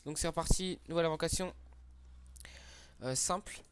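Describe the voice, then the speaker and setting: A man's voice: on the high side, moderate pace, smooth. Congested young man rambling through game summons. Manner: rambling, hesitant, crude slang, many fillers. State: slightly congested from a cold. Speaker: gaming YouTuber. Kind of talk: casual gacha summon commentary.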